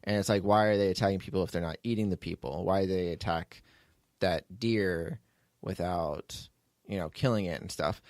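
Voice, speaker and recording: A man's voice: deep voice, normal speed, darkish tone, no echo, studio quality. A man hosting a podcast in casual, unscripted chat, talking informally in a rambling way and often restarting his own sentences. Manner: rambling, informal, self-interrupting. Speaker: podcast host, writer and producer.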